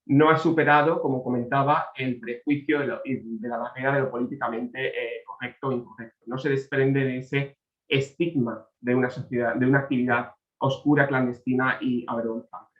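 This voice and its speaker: A man presenting an academic talk over a video call, measured, often tagging his points with a question. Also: mid-range voice, steady tone. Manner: measured, frequent tag questions. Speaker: conference presenter. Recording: dull, echoey room audio.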